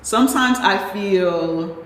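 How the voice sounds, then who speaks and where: A woman with a deep voice, a panel guest thinking aloud in a candid group discussion, often restarting as she works through her thoughts. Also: takes it slowly, fairly bright tone, slight background noise. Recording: roomy echo.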